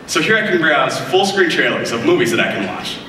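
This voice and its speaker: A man's voice: fairly high pitch, big pitch swings, brisk, sharp tone, distant mic, slight background noise. Upbeat young man demoing on an echoey stage. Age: young adult. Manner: upbeat, enthusiastic presenter. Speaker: product demonstrator. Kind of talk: scripted live product demo. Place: large keynote stage in front of a screen. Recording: echoey hall audio picked up from afar.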